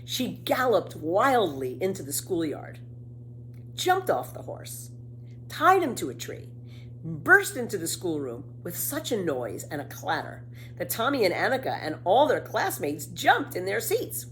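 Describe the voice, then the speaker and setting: A woman narrating a children's book expressively, with deliberate emphasis. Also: on the low side, huge pitch swings, a bit bright, slight background noise, low-quality recording. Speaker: storybook reader.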